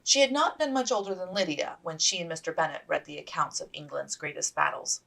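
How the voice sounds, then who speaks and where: A woman's voice: sits low, fairly quick, vocal fry, fairly bright tone, distant mic. A woman reading scripted fiction aloud as an author narrating a period novel.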